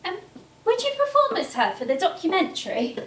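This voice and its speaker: An actress playing a polite, eager documentary researcher on stage, speaking hesitantly and naturally. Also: female voice, very high-pitched, wildly swooping pitch, fairly quick, a bit bright, heavily acted. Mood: keen, friendly. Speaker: documentary interviewer. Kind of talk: naturalistic theatre acting. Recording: roomy stage, distant mic, background noise.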